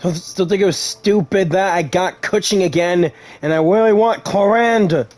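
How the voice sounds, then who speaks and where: Male let's-player, notably high-pitched, casual gaming commentary, light music underneath. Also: normal speed, a little mellow. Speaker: gaming YouTuber.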